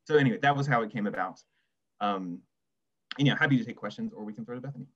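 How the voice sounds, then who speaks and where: A man's voice: medium pitch, quick pace, a bit bright. A man talking casually and reflectively as a writer on a panel, hesitant and self-deprecating, over a lo-fi video call. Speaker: essay writer on a literary festival panel. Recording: dull, lo-fi video call audio.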